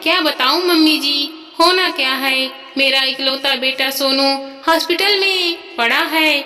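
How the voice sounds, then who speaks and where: A woman's voice: high-pitched, unhurried, pushed and bright. A woman voicing a poor, worried housemaid in a story, speaking in a plaintive, distressed way. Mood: distressed, pleading. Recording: long reverb, music behind.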